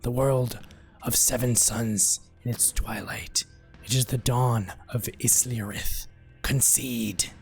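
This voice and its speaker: A man voicing an enigmatic hooded leper, a slow, formal cosmic gambler in a dark fantasy lore reading. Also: medium pitch, fairly even intonation, creaky. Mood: smug, solemn. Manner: slow, deliberate, grandly formal. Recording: background music under the voice.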